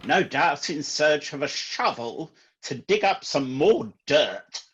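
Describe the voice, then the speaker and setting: Exasperated clergyman played by a man for radio comedy: fussy, indignant, slow and animated, dull recording. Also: on the high side, breathy edge, heavily acted. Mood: aggrieved, indignant. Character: exasperated, aggrieved clergyman, husband and father. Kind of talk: comic radio-play acting. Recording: dull home recording, music underneath.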